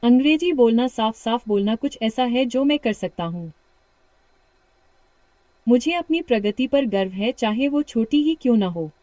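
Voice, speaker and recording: Brief: female coach, British accent, slightly high voice, encouraging, slow repeated drill lines. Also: lively intonation, no room sound. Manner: warm encouragement, slow repeated practice sentences. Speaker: English speaking coach. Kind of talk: guided shadowing practice lesson.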